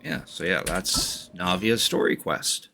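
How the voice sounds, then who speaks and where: A man giving casual livestream game commentary, informal and a bit halting as he talks. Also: deep voice, expressive, background noise. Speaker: game streamer. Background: game music.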